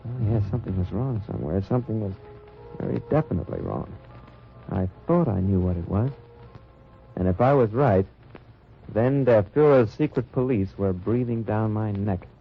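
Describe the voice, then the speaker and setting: A wry undercover agent, low voice, dry narration, dull old radio sound. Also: male voice, lively intonation, normal speed, gravelly, faint music behind. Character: hard-bitten American undercover agent narrating. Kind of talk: 1940s radio acting.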